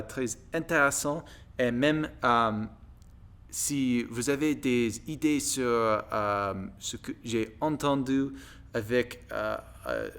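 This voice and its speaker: A man from the United States with an American accent, a native English speaker, talking casually to viewers and haltingly searching for his words in a second language. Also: mid-range voice, fairly bright tone, clean tone. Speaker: video blogger practising French.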